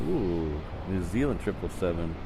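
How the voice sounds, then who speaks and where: A low-voiced man hosting a live plane-spotting stream from outdoors. He talks in a laid-back, rambling, chatty way. Loud road traffic runs behind him. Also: lively intonation, normal speed. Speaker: livestream host, plane spotter. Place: outdoors near an airport runway.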